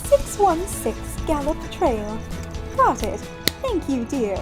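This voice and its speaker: A woman playing a brisk, caring middle-aged mother, practical and fussing, in a cartoon fan drama. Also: high-pitched, sing-song, exaggerated voice. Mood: brisk, fond. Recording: music under the voice.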